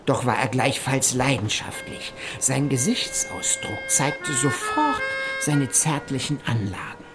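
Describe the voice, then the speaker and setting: A man giving measured, formal narration of a journal in a literary radio play. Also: medium pitch, huge pitch swings, over music. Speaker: narrator reading an explorer's journal.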